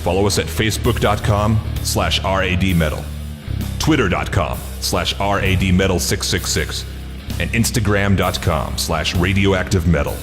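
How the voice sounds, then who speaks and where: A man's voice: sits low, moderate pace. A man reading a scripted promo as a podcast announcer. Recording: long reverb, music behind.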